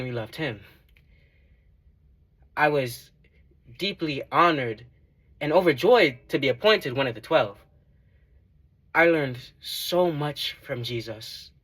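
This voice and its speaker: A man playing a devout former tax collector in a dramatic monologue, giving earnest, measured testimony. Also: mid-range voice, a bit bright. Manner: earnest, measured, emphatic storytelling.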